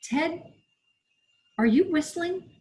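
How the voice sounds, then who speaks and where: A woman's voice: very high voice, lively intonation, takes it slowly, a little mellow, pitched above the performer's own voice, full character voice. A woman voicing a tense female remote robot operator in an audiobook, urgent and clipped. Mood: alert, irritated.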